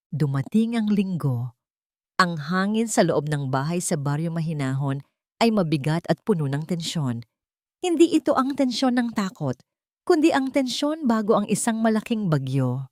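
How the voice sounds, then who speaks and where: A woman narrating a scripted dramatic story in a measured delivery. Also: lowish voice, darkish tone, no echo, clean studio sound.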